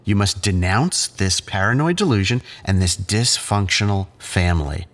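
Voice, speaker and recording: Fields: low-voiced, condescending psychiatrist played by a man. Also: lively intonation, fairly bright tone. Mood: stern, coaxing. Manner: measured, clinical, patronising. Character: condescending, controlling male psychiatrist leading group therapy. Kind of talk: audio drama acting.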